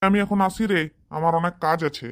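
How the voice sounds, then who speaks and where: A woman's voice: fairly low pitch, brisk, lightly acted. A woman voicing a doting, folksy old village man, newly remarried, in a comedy cartoon. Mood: friendly, instructing.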